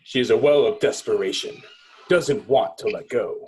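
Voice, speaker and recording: A man with a husky voice at a middling pitch narrates a film script. He delivers stage directions dramatically, in short phrases broken by pauses. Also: a little mellow. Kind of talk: dramatic screenplay narration in a table read.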